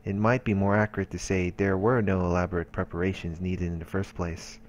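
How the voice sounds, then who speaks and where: Low voiced guy reading visual novel narration out loud for a lets play. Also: level tone, brisk, a little hiss or hum. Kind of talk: reading game narration aloud.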